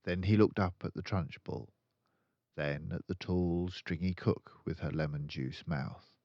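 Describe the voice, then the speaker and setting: An older man narrating a children's story aloud in an expressive storytelling style. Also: sits low, a little slow, gravelly, no echo, dull audio. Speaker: storyteller reading a children's book.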